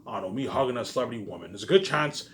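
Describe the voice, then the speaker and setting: A man in an unscripted, repetitive and halting monologue to viewers, sounding aggrieved and letting phrases trail off quietly. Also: medium pitch, animated, noisy background. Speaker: online vlogger.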